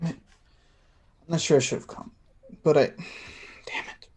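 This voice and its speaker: Actor playing tender anxious man, higher pitch, stammering, hesitant, staged drama reading. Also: fairly even intonation, a little mellow, natural voice. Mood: troubled. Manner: halting, stammering.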